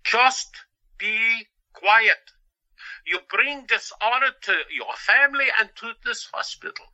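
A pompous, long-suffering hospital boss in his fifties for an audio drama. The voice is high and swoops dramatically, with slow, scolding delivery. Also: sharp tone, exaggerated voice, low-quality recording. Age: 50s. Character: exasperated, pompous fifty-year-old male doctor and hospital boss.

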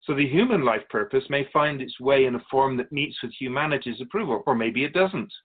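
A man playing a patient, wise, otherworldly Keeper of Souls who teaches in a measured, philosophical way in a radio drama. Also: medium pitch, expressive, phone-quality sound, natural voice. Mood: patient, instructive. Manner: measured, philosophical, gently instructive. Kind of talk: scripted radio drama dialogue.

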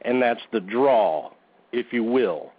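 A man's voice: mid-range voice, expressive, takes it slowly, breathy edge. Goofy male host on a tinny line. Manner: goofy, joking, self-deprecating. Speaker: podcast host. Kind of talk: casual radio-show banter and announcements.

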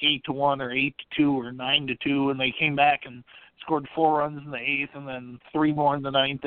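A man's voice: a little high, no room sound. A man hosting a casual sports podcast, talking conversationally. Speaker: podcast host. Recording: dry, narrow phone-like sound.

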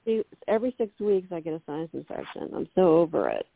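A woman's voice: on the low side, sing-song, fast, creaky. A woman calling into a casual radio chat, wry and complaining. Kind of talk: casual chat on a call-in internet radio show. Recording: tinny phone line.

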